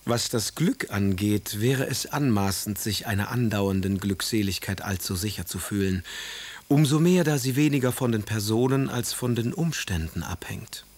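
An actor playing a moody, sardonic aristocratic poet in a German radio play, speaking letters in wry, eloquent phrases. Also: male voice, lowish voice, animated, normal speed, darkish tone. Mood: doubting, impatient.

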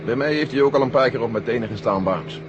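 Brisk military commander, male actor, mid-pitched and clipped, heard over roaring helicopter noise in a vintage radio serial. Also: muffled recording, close to an everyday voice. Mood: dry. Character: brisk, gruff, practical male army general in command. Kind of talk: 1970 Dutch science-fiction radio drama acting. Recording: loud engine noise behind the voice. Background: helicopter engine drone.

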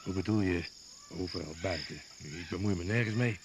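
An actor as a deep-voiced, nosy schemer. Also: male voice, moderate pace, natural voice. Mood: sly, probing. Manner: inquisitive, insinuating. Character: nosy, jittery man feigning innocence, probing companion. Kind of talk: radio drama acting. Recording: very noisy old radio recording.

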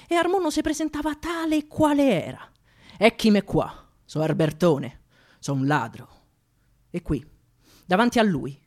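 A woman playing an impassioned Roman thief with a Roman accent, delivering a theatrical mock funeral oration in a declamatory, rhetorical way. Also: mid-range voice. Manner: declamatory, rhetorical, swinging from hushed to shouted. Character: impassioned Roman thief eulogizing a dead comrade.

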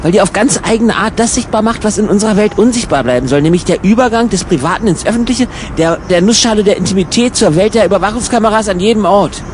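Guy acting a grumpy bossy traveller in a radio play, pretty high voice, exasperated, noisy surroundings. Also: fast, few pauses, close to an everyday voice. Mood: earnest. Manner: exasperated, colloquial. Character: irritable, bossy male traveller emigrating to Ireland. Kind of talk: improvised-sounding German radio play dialogue. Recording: noisy travel ambience behind voice.

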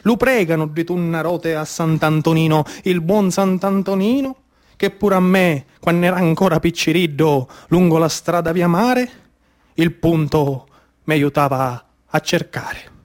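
A man's voice: fairly high pitch, a little slow. A man with a Sicilian accent performing a dramatic theatrical monologue in dialect, rhythmic and incantatory, with repeated phrases. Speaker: theatre storyteller and actor.

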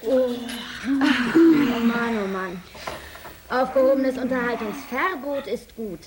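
A grumbling, sarcastic astronaut in a radio play. Her voice is on the high side and drily mocking, set in heavy noise with clicks. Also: takes it slowly. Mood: sardonic, grumbling. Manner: mocking, dry. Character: sardonic, grumbling female space crew member. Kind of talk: radio drama.

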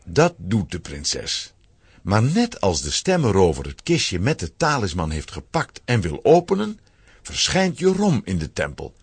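A man giving a dramatic, expressive scripted narration for a children's audio drama. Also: fairly low pitch, a little slow, breathy edge, no echo.

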